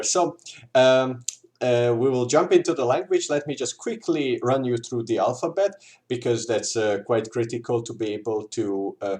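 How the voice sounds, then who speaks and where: A man's voice: mid-range voice, a little mellow. A man with a Hungarian accent, a native Hungarian speaker, teaching an informal language lesson in a hesitant, explanatory way with repeated examples. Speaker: language tutor.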